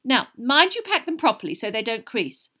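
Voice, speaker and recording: A woman reader acts out a middle-aged mother from a storybook. She is brisk and bossy, with a raised, swooping pitch and a scolding, no-nonsense edge. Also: very animated, pushed and bright, full character voice. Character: bossy, sharp-tongued middle-aged mother of a big family.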